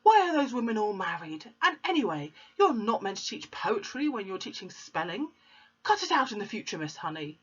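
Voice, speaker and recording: A woman voicing a huge, furious bullying headmistress who bellows and barks insults, pitched well above her own voice. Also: big pitch swings, fairly quick, fairly bright tone, husky. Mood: scornful. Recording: dull lo-fi recording.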